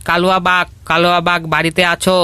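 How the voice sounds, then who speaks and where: A man's voice: high-pitched, level tone, brisk, pushed and bright, smooth, no echo. A man voicing a bold, protective fox uncle in a cartoon. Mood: defiant. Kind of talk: cartoon voice acting.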